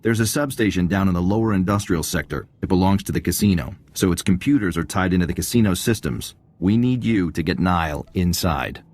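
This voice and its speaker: An actor playing a confident, wry military intelligence agent giving a mission briefing in a video game. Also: male voice, low-pitched, brisk, hardly any pauses, darkish tone. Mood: assured briefing. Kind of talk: video game cutscene dialogue.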